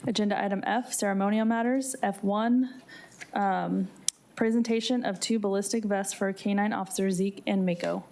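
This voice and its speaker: A woman's voice: mid-range voice, steady tone, normal speed, dark tone, a little hiss or hum. A woman working as a city clerk, reading formal scripted announcements in an official, procedural way. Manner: procedural, official read-aloud delivery.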